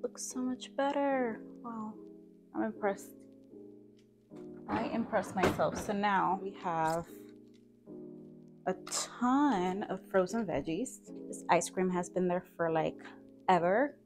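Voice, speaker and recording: A woman's voice: medium pitch, lively intonation, slow, long pauses, over music. A woman in her thirties vlogging from her home kitchen, talking casually and encouragingly to camera about organizing. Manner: chatty and encouraging, laughs at her own mess. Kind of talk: casual talk to camera with voice-over.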